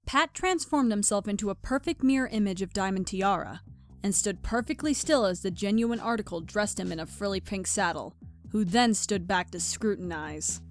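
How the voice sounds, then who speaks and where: Woman narrator reading a story, middle pitched voice, faint music behind her. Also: moderate pace. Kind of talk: scripted storybook narration of fan fiction.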